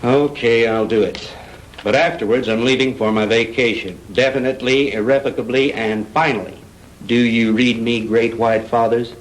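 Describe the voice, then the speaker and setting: An actor playing a sardonic, put-upon American newspaper reporter in a 1960s B-movie. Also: male voice, medium pitch, steady tone, normal speed, natural voice. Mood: mock-solemn. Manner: dry, sardonic. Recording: noisy old film soundtrack.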